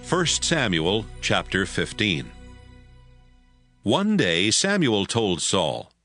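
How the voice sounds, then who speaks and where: A man's voice: on the low side, wildly swooping pitch, slow, fairly bright tone, soft background music. A man narrating scripture in a scripted, dramatized audio Bible style.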